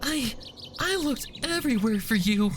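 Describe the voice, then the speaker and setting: Man acting a high-voiced, tearful mare, pleading desperately. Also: lively intonation, slight background noise. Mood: tearful, apologetic. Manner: pleading, halting. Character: distraught, delusional, tearful adult female pony. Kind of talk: dramatic fan fiction reading.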